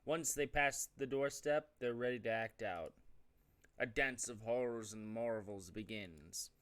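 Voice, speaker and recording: A man hosts a casual gaming show in an ordinary mid-pitched voice. He moves between reading game text aloud and offhand, joking commentary. His delivery rambles in a relaxed let's-play style. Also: a little slow, clean tone.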